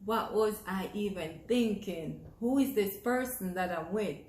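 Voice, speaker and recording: A woman's voice: mid-range voice, a little slow, darkish tone, roomy sound. A young Jamaican woman with a Jamaican accent, talking to camera in an earnest, encouraging way that repeats phrases for emphasis. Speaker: vlogger. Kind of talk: casual talk to camera.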